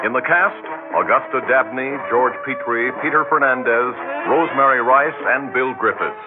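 A male announcer reads credits in 1960s radio style. His mid-pitched voice moves with lively inflection over music, on a thin, tinny recording.

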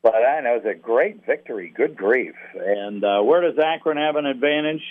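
A man's voice: mid-range voice, expressive. Male sports announcer as phone guest, folksy and rambling, casual analysis, narrow tinny line. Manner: folksy, rambling, halting with repeated words. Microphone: phone call.

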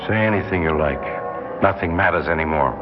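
An American actor playing a bitter, mocking cop killer on death row in 1960s radio drama, with a tough, slangy, sneering delivery. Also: male voice, low voice, a little fast, noisy background, close to an everyday voice. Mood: bitter, resigned. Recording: dull 1960s radio recording, music behind.

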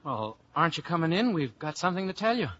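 Earnest American detective, old radio sound. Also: male voice, on the high side, big pitch swings, fast, bright, forceful tone, full character voice. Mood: easy, eager. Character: plain-talking American detective and suitor, earnest. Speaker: actor in leading part and first-person narrator. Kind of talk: 1950s radio drama acting. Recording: muffled vintage radio broadcast with music.

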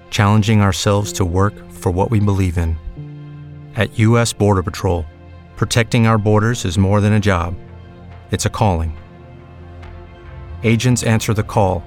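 A man reading an earnest recruitment advertisement in a steady, solemn way. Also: on the low side. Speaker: ad voice-over.

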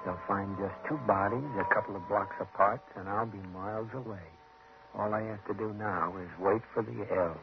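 Man playing a guarded tough guy w murder on his mind, lowish voice, terse, muffled old 50s radio. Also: fairly even intonation, background noise, lightly acted. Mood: cold, calculating. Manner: terse, halting, evasive. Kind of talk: 1950s radio drama acting.

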